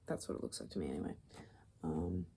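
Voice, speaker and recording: A woman doing soft, rambling ASMR, musing hesitantly as she thinks aloud, her voice fading toward whispers. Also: extremely low pitch, quick pace, slight background noise. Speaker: ASMR video creator.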